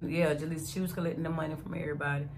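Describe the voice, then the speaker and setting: A woman's voice: low-pitched, flat delivery, rapid-fire, a little mellow. A woman recalling a salon argument she witnessed, speaking from across the room. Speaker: salon co-worker recalling an event.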